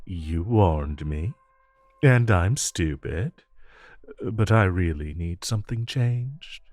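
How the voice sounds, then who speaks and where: Villain love interest for audio roleplay, male actor: deep, slow, smugly teasing and flirtatious. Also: expressive, darkish tone, no echo. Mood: pleading, persuasive. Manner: teasing, coaxing, drawn-out phrasing. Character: flirtatious, smug infamous supervillain, a teasing regular customer. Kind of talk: romantic audio roleplay, addressed to listener.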